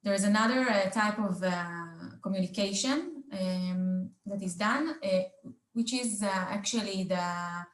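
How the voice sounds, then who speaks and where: A woman hosting a business roundtable, speaking off the cuff and haltingly, with very frequent filled pauses. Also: mid-range voice, roomy sound. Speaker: roundtable host. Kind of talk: unscripted business presentation in a panel discussion.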